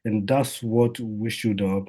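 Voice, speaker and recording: A man's voice: fairly low pitch, fairly even intonation, takes it slowly, mellow. A man, a doctor, giving an informal health talk in a conversational way, with lots of fillers and restarts.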